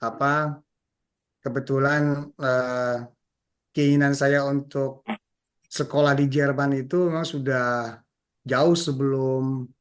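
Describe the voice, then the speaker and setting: Hoarse male association chair, halting with fillers, video call guest. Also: medium pitch. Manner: hesitant, many fillers.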